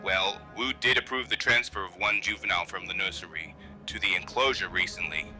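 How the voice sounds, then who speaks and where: An actor plays a park control room technician in a horror drama. His voice is deep with a very sharp, bright edge. He reports in a clipped, matter-of-fact, procedural way, with faint music behind. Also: brisk, poor audio. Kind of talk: found-footage horror audio drama.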